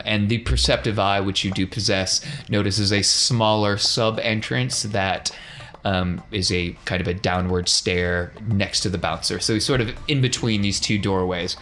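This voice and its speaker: Man, lowish pitch, game master, conversational scene-setting, descriptive tabletop narration, noisy background. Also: fairly bright tone.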